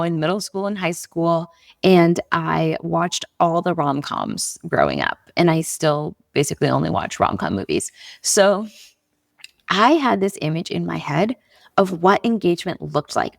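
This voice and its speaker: A young woman hosts a podcast in a chatty, earnest, encouraging tone, speaking softly to save a tired, slightly raspy voice. Her voice sits low with a gravelly edge, and the recording is close and dry, with no room sound. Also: no room sound. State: strained voice, talking softly. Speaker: podcast host. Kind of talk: casual faith podcast talk.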